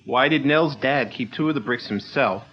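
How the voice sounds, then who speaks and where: A man's voice: mid-range voice, moderate pace, close to an everyday voice. An actor playing an eager, plain-spoken male sidekick in a 1940s-style radio drama. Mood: alert, eager. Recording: dull lo-fi old radio recording.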